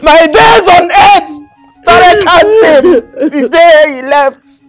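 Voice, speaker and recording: An actress playing a mourner who consoles and laments. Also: female voice, high voice, lively intonation, normal speed. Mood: wailing. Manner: wailing, lamenting. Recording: loud music behind.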